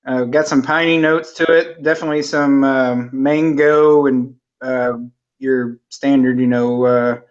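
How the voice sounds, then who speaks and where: A forty-year-old man, a guest in a casual video-call chat, who talks in an easygoing, hesitant way with many pauses and fillers. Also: medium pitch. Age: 40s. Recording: dull video-call audio.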